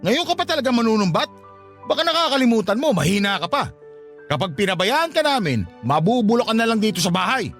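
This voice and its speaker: A scornful, irresponsible Filipino husband in a radio drama. He talks quickly in a lively, swinging high voice, brushing off everything with impatience. Faint music sits behind him. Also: exaggerated voice. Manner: dismissive, sneering, impatient.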